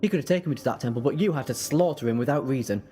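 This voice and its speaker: A man playing a quick-witted, sardonic time-travelling adventurer in an audio drama, speaking in a wry, emphatic way. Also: medium pitch, dark tone, natural voice. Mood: urgent, accusing. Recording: reverberant, music underneath.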